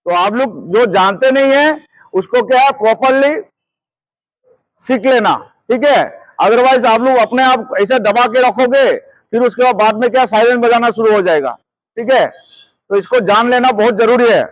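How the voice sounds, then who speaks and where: A man's voice: very high voice, normal speed, no room sound, highs cut off. A man teaching as a security trainer, repeating points and often checking that listeners follow. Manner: repetitive, checks understanding often. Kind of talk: hands-on instructional lesson.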